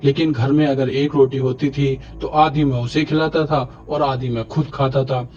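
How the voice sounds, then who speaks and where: A man's voice: medium pitch, little pitch movement, normal speed, darkish tone, highs cut off, lightly acted. A man playing a humble, kindly poor village husband in cartoon story dialogue. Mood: earnest, deferential.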